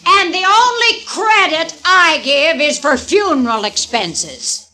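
A woman's voice: very high voice, expressive, slow pace, sharp tone, exaggerated voice. An actress playing a tough, bossy middle-aged woman who owns a frontier saloon, in a 1950s radio drama. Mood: brassy. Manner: brassy, bossy, frontier drawl. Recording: old radio broadcast with music.

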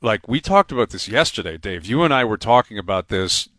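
A man hosts a sports talk radio show, his voice sitting low and close on a dry studio mic. He talks in a quick, chatty, rambling way, rolling from one story into the next. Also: big pitch swings, a bit bright, dry recording.

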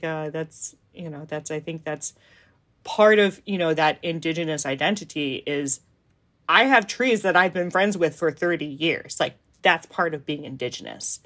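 An American woman in her thirties from Hawaii, telling personal stories in a casual, reflective, conversational way in an interview. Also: medium pitch. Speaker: interviewee, hiker and educator.

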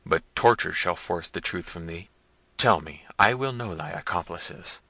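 Tyrant prince, older, commanding and harsh, read by a man, bright forceful tone, dry sound. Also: sits low, lively intonation, unhurried, gravelly, no echo, full character voice. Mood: wrathful. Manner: loud, harsh, threatening.

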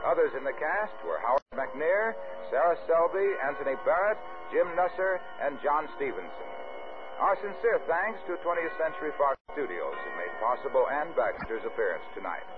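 An American man announces in scripted 1950s radio style. His voice is fairly high and very lively, over a narrow vintage broadcast with faint music. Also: normal speed.

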